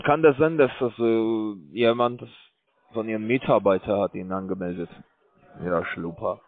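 A man calling from a scam call center, speaking broken full of fillers as he pushes his pitch. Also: normal speed, dry recording. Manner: broken German, filler-heavy, pushy salesman. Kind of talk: phone call. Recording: tinny phone line.

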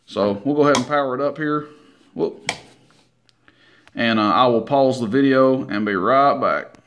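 A man giving a casual, unhurried hands-on demonstration in a folksy, informal way. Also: mid-range voice, a bit bright, highs cut off, a little hiss or hum. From Tennessee, United States. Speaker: CB radio hobbyist presenting his equipment. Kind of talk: casual hands-on demo narration. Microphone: handheld camera mic, operator behind it.

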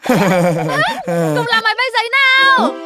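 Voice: very high voice, normal speed. A voice actor playing a cheerful, helpful young panda in a children's cartoon, eager and full of exclamations. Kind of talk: children's cartoon dub acting. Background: loud cartoon background music.

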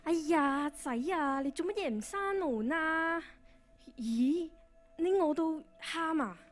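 A girl playing a high-voiced fussing mum. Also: expressive, takes it slowly, faint music behind. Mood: fussing, breezy. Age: teenager. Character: chatty, fussing mother. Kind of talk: Cantonese radio drama acting. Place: on stage in a school drama competition hall.

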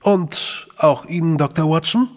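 An actor playing a courteous, guarded middle-aged gentleman official in a German radio play, with a gravelly, husky voice and measured, hemming delivery. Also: fairly high pitch, big-room sound, lightly acted. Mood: courteous, hospitable. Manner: courteous, measured, hesitant hems. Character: suave, guarded gentleman official, Sherlock's brother.

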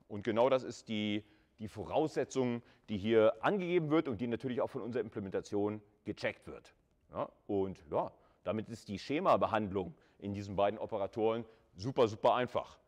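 A man's voice: lowish voice, animated, moderate pace. A man lecturing at university in a conversational way, repeating words for emphasis and adding short checking tags. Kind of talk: informal screen-recorded lecture with live coding and slides.

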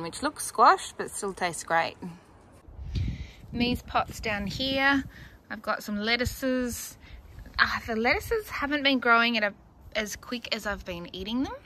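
A woman's voice: mid-range voice, sharp tone. A woman in her thirties with an Australian accent, chatting casually with fillers and small laughs as she walks through her backyard vegetable garden, filming on a handheld phone. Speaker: gardening vlogger.

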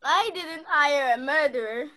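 A woman's voice: high-pitched, measured pace, pushed and bright. A young woman playing a haughty, indignant lady of the house in a radio play. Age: young adult.

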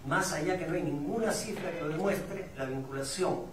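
A man's voice: fairly high pitch, moderate pace, noisy background. A middle-aged man with a Peruvian accent speaking at a public forum, reflective and didactic, posing rhetorical questions. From Peru. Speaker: migrant community representative speaking on a panel. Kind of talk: public talk at a discussion forum. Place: indoor event room at a public forum. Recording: echoey room, distant mic, audience sounds.

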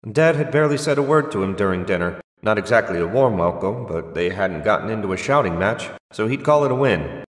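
Lowish-voiced man narrating fantasy. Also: animated, fairly quick, roomy sound, big-room sound. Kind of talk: scripted fantasy audiobook narration.

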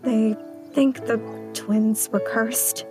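Woman playing a hesitant, higher-voiced small-town schoolteacher. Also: fairly even intonation, drawn-out words. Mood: uneasy, guarded. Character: reserved, uneasy female small-town schoolteacher. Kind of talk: audio drama dialogue. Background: music.

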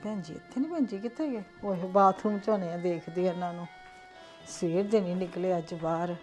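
A woman's voice: fairly low pitch, brisk, darkish tone, faint music behind, lightly acted. A woman playing an aunt anxiously searching the house and calling out for a missing relative. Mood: worried, muttering. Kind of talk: amateur drama acting.

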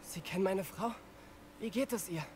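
Game role: captive gentleman wizard, male actor, measured and urgent, clear ambience behind. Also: sits high, a little hiss or hum, natural voice. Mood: composed, urgent. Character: composed captive adult male wizard, worried husband. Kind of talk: video game dialogue.

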